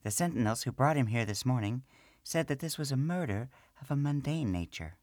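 A man's voice: medium pitch, expressive, fairly quick, darkish tone, no echo, clean studio sound, pitched above the performer's own voice, lightly acted. A man voicing a jumpy, scolding middle-aged female gnome priestess in a storybook reading. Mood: brisk.

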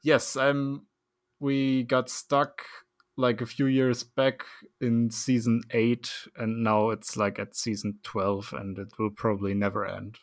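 A man hosting a casual podcast, relaxed and laughing often, with loose, filler-heavy phrasing. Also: mid-range voice, takes it slowly, dry recording. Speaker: podcast host.

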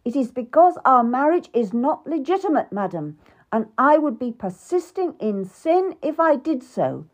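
A woman voicing an impatient, domineering middle-aged king who speaks loudly and curtly. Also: mid-range voice, big pitch swings, slow, exaggerated voice. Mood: angry. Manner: loud, curt and scolding. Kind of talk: audiobook character reading.

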